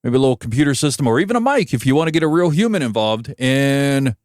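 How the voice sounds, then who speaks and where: An American man giving conversational solo commentary as a podcast host, wry and emphatic, pausing for effect. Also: medium pitch, expressive, darkish tone, no room sound, clean studio sound. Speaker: podcast host and broadcast engineer.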